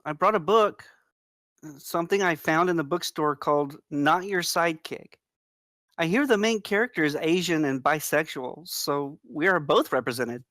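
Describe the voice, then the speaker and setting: A man playing a gentle, devoted young woman, soft-spoken and earnest, in a screenplay table read. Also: fairly high pitch, normal speed, no room sound, studio quality, close to an everyday voice. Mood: earnest, caring.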